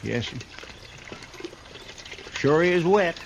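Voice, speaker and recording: Anxious frontier sidekick, higher-pitched, noisy radio. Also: male voice, expressive, very slow, gravelly, highs cut off, full character voice. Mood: worried. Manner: folksy, deferential, worried. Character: anxious, loyal Old West marshal's sidekick, adult man. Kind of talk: old-time radio drama acting. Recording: noisy old radio broadcast recording.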